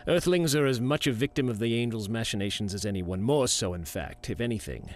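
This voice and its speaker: A man playing a dutiful, weary leader in an audio drama, speaking in a measured, formal and resolute way. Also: on the low side, expressive, close to an everyday voice. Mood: firm, formal.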